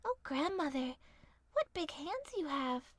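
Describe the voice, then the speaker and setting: A woman's voice: sits high, big pitch swings, a little slow, dry recording, voice pushed up. A woman voicing an innocent, polite little girl in a fairy tale, sweet, earnest and naive. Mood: puzzled.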